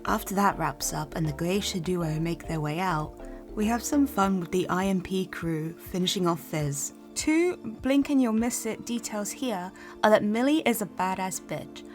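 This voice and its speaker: Playful young woman recapping over music. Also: mid-range voice. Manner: sweary, playful. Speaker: co-host. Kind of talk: casual scripted recap commentary.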